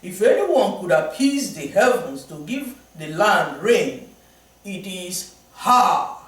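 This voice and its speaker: A helpful brown toad speaks in a plain storytelling voice, as a man reads him. The pace is slow. Heavy echo fills the recording. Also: high voice, very animated, fairly bright tone, heavily acted. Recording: very echoey room, from far off.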